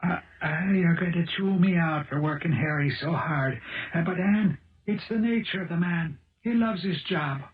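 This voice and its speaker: A man's voice: a little high, normal speed, close to an everyday voice. An American actor playing a genial, chatty male police lieutenant in a 1970s radio drama. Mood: genial, teasing. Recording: tinny roomy old radio broadcast.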